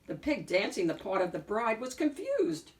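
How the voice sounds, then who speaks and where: A woman reading a picture book aloud as an expressive narrator, phrasing deliberately with emphatic swells. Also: mid-range voice, far from the mic. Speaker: picture book reader and host.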